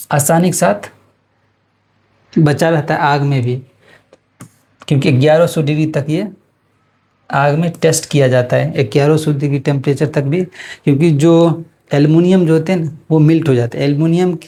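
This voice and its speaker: A man's voice: fairly high pitch, mellow. A man with an Indian accent gives an informal explanatory lesson as a teacher, repeating and rephrasing his points for students.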